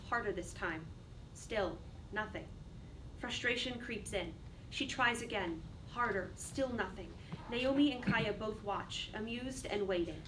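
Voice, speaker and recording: A woman reading stage directions as a scripted dramatic narrator. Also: on the high side. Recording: distant mic, faint background noise.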